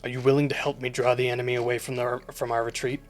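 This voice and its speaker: A man's voice: medium pitch, level tone, quick pace, faint music behind, lightly acted. A man voicing a male resistance leader who gives urgent, commanding orders. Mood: grave.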